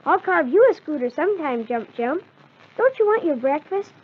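A kindly boy, speaks in a high, eager voice. The delivery is that of an old children's radio play, heard through a tinny, narrow recording. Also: big pitch swings, normal speed. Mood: friendly.

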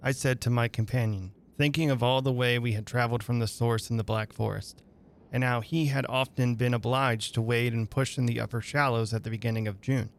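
A man narrating a literary story dramatically, with measured, deliberate phrasing and pauses. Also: mid-range voice, fairly even intonation. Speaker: reader and host of a dramatic reading radio show.

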